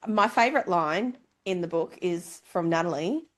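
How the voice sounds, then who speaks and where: A woman's voice: fairly low pitch, lively intonation, moderate pace. A woman hosting a casual book discussion in a playful, teasing manner. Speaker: book club podcast host.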